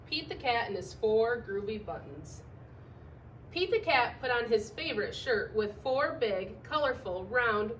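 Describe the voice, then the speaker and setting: A woman reads a picture book aloud to young children in an expressive, emphatic, sing-song way, putting questions to her listeners. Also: mid-range voice. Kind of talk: storybook read-aloud with sung refrains. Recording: distant microphone with faint background noise.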